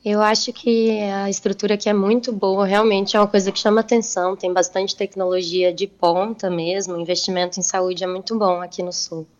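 A woman's voice: mid-range voice, little pitch movement, fairly quick, hardly any pauses, darkish tone. A young woman from central Brazil answers interview questions informally. Her mid-range voice comes through a remote connection that sounds dull and lo-fi. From Goiás, central Brazil. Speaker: medical resident, interview guest. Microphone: remote call.

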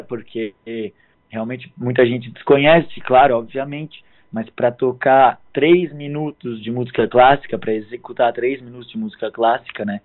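A hoarse man in his thirties from southern Brazil, a guest musician speaking politely and hesitantly in a phone interview. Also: medium pitch. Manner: hesitant, filler-heavy, polite. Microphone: phone line. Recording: tinny phone line.

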